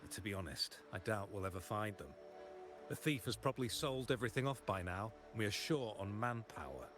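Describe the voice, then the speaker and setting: Game henchman: loyal old hand, casual, lower register, animated delivery. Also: brisk, faint music behind. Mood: chatty, resigned. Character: easygoing, loyal senior male mob henchman. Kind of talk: video game voice acting.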